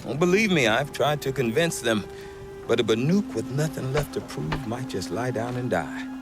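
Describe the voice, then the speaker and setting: An actor playing a gruff middle-aged trader who talks with dry humor. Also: male voice, mid-range voice, normal speed, close to an everyday voice. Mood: wry. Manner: wry, dry humor, lively delivery. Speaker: merchant trader. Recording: game cutscene with music behind.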